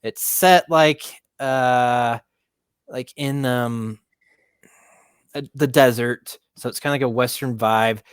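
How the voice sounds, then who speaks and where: A man's voice: medium pitch, fairly even intonation, slow, smooth, dry recording. A man co-hosting a casual movie podcast, talking in a hesitant, rambling way and stumbling over words. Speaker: podcast co-host.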